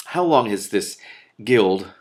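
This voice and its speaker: A man voicing a coolly polite, suspicious thieves' leader from a fantasy novel, measured and formal. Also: fairly low pitch, expressive, a little mellow. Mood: suspicious, cold.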